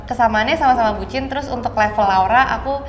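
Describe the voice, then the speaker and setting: A woman's voice: on the high side, quick pace, reverberant, in a large hall, slight background noise. A young woman from Indonesia answering interview questions casually, mixing English words into her slangy talk. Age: young adult. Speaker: actress being interviewed. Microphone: clip-on lapel mic.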